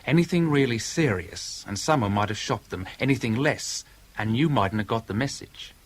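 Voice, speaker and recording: An actor playing a wry, blunt police inspector in a 1970s radio drama, questioning in a folksy, methodical way. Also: male voice, medium pitch, animated, normal speed, close to an everyday voice. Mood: brisk, probing. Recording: old radio drama recording, faint noise.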